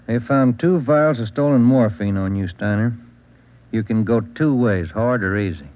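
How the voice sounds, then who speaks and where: An American man playing a steady, plainspoken police detective, direct and businesslike, in old-time radio drama style. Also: mid-range voice, normal speed, lightly acted. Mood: firm, pressing. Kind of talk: mid-century radio drama acting. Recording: thin, lo-fi old radio recording.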